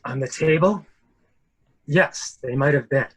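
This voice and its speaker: A man acting an evasive politician and secret lover who talks in brisk reassurances, in a dramatic mystery reading. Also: fairly high pitch, a bit bright, breathy edge. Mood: wary.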